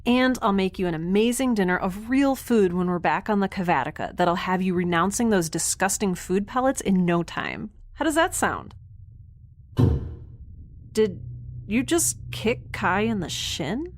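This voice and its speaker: A woman's voice: mid-range voice, expressive, unhurried, natural voice. A woman playing a chatty, naive young mutineer in a science fiction audio drama, rambling cheerfully and informally. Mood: cheerful, awkward. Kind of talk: audio drama acting, conversational.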